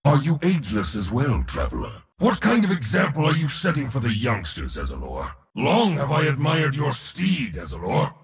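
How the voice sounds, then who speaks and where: An actor voicing a proud, battle-hungry god of war in a video game, with a theatrical, mocking, boastful delivery. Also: male voice, mid-range voice, wildly swooping pitch, normal speed, hardly any pauses, long reverb tail. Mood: wry, haughty. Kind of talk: video game character voice lines.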